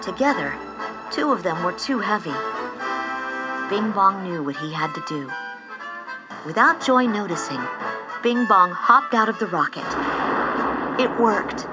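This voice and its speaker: A woman reading scripted storybook narration in an expressive, measured storytelling style. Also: medium pitch, rough recording. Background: music.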